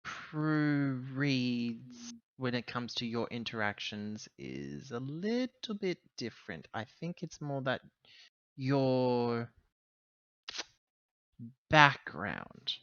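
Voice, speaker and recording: A man with a sharp, bright voice plays through a tabletop roleplaying scene. He talks in halting, improvised phrases, feeling his way through sentences. The sound is very dry and a little dull. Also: mid-range voice, very animated, no echo. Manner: halting, hesitant phrasing with pauses. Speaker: tabletop roleplaying game player. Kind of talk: improvised in-character roleplay talk.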